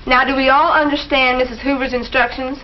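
A young woman playing a firm, businesslike teenage club leader in a 1950s school film, speaking briskly. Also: high-pitched, level tone, close to an everyday voice. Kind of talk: 1950s educational film acting. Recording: muffled old film soundtrack with hiss.

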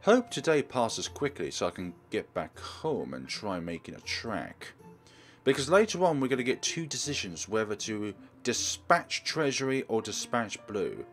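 A man's voice: lowish voice, sing-song, a little slow, soft background music. A man hosting a let's play, dramatically reading a visual novel aloud and commenting on it.

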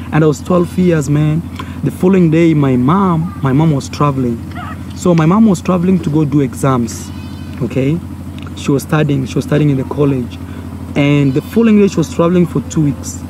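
Animated young Kenyan man, outdoors. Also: fairly high pitch. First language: Swahili. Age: young adult. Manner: animated, acts out voices and gestures. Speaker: vlogger. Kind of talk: storytelling to camera. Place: outdoors by a brick wall. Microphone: phone or camera held close. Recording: outdoor noise, village sounds.

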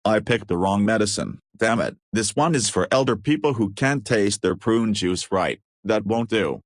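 A man voices a well-meaning, bumbling dog hero for a homemade cartoon. The voice is fairly low and sounds earnest and reassuring, recorded dry with no room sound. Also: expressive, normal speed, no room sound. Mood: puzzled. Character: helpful, slightly bumbling cartoon dog superhero.

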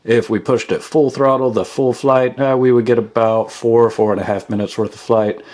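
A man's voice: medium pitch, fairly even intonation, moderate pace, darkish tone. A man hosting a casual product review voice-over in a matter-of-fact way. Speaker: product reviewer and channel host.

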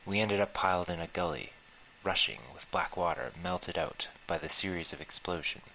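Male amateur author-reader, forceful bright tone, slightly unpolished delivery, faint noise behind. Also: low-pitched, normal speed, low-quality recording. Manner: stumbles over words, breaks into laughter mid-reading. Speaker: author reading own story. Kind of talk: amateur story narration.

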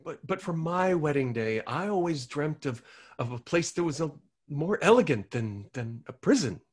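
A performer at a comedy table read playing a meek, hesitant romantic fiancé, with a husky voice and a halting, unsure manner. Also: mid-range voice, very animated. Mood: reluctant.

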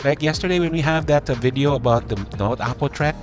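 A man's voice: a little high, fast talker. A man hosting a morning radio show in a chatty, rambling way. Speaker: radio host. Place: small radio studio. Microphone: close studio microphone. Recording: music behind the voice.

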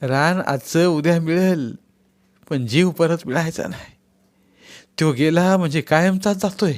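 Village elder, soothing and persuasive, slow, as a male narrator puts him on. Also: on the high side, voice pushed up, close to an everyday voice. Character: calm reasoning village elder.

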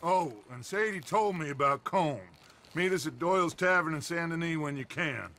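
An actor playing a charismatic, commanding outlaw gang leader with a smooth, persuasive manner. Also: male voice, on the high side, normal speed, bright, forceful tone, slight background noise.

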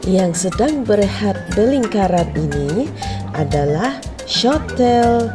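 The Malaysian woman you would hear on a homemade children's wildlife video, explaining slowly and deliberately while music plays clearly underneath. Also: mid-range voice, expressive. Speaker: vlogger and narrator. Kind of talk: voice-over narration of a wildlife park visit for children.

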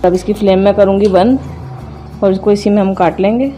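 A woman hosting a home cooking video, calmly explaining each step as she cooks in her kitchen. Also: medium pitch, fairly quick, faint music behind. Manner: calm, methodical, names each step as she works. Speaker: cooking channel host.

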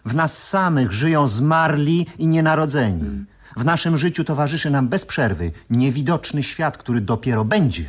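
An actor playing an excitable smuggler from a past era who argues and explains eagerly in a 1970s radio play. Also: male voice, on the high side, normal speed. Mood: philosophizing. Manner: animated, argumentative, explanatory.

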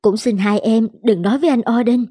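A woman voicing an anxious, scheming adulterous wife in an animated drama, polite and pleading. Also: a little high, fairly quick, breathy edge. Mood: pleading, remorseful. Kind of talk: Vietnamese animated drama dubbing.

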